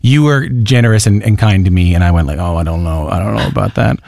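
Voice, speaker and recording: A man hosting an interview in a friendly, joking conversational way, with quick asides. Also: lowish voice, creaky, darkish tone, dry recording, clean studio sound. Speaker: radio host.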